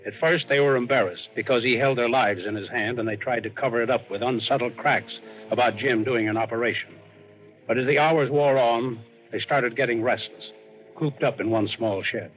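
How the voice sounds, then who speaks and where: A man's voice: mid-range voice, moderate pace, natural voice. Casting: actor as male suspense narrator, scripted vintage radio delivery, phone-like band, music beneath. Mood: tense, measured.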